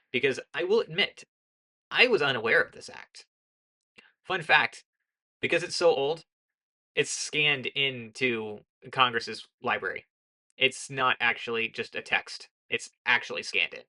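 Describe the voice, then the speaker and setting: Bright monotone young woman vlogger. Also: extremely low pitch, rough recording. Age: young adult. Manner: earnest, lecturing, with frequent self-corrections. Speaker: political commentary vlogger. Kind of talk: casual spoken commentary.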